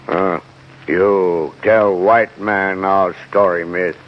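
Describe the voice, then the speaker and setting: A man playing a proud, stern chief in a radio western, speaking halting, clipped broken English in a gravelly voice. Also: low voice, fairly even intonation. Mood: gruff. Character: proud, stern Comanche chief, terse and mistrustful. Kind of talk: old-time radio western acting. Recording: muffled, hissy old radio broadcast.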